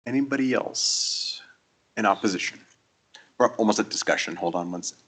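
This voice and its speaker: Guy running a council meeting, lowish, brisk procedural calls. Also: fairly bright tone. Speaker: council chair presiding. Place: council chamber.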